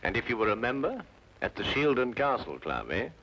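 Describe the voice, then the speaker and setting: An actor playing a brilliant, coolly logical Victorian detective with a precise British delivery in an old-time radio drama. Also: male voice, mid-range voice, big pitch swings, a little fast, fairly bright tone, slightly rough, full character voice. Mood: analytical. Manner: precise, assured, drily deductive. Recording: dull, noisy old radio drama recording.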